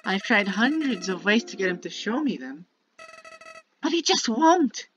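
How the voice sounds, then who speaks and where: Music plays under a muffled, lo-fi recording of a childlike, villainous talking flower. The voice swoops widely in pitch through a theatrical game monologue. It is bitter and manipulative, sweetly musing and then menacing. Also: female voice, very animated, unhurried, a bit bright. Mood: confiding, scheming. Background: prominent music.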